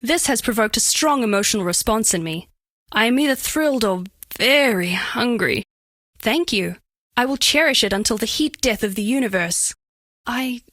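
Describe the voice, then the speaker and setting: A woman's voice: fairly high pitch, a bit bright, no room sound, clean studio sound. A woman voicing a curious female alien crystal being for a dating game, speaking formally with stilted, precise, clinical phrasing and awkward flirtation. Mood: delighted. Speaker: video game voice actress. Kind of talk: scripted dating-game character voice lines.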